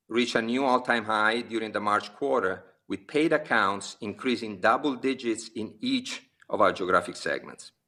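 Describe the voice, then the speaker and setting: A man, a company executive, reading prepared remarks on an earnings call, giving figures in a measured, steady delivery with brief pauses between list items. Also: lowish voice. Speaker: company executive presenting quarterly results. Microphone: conference call line.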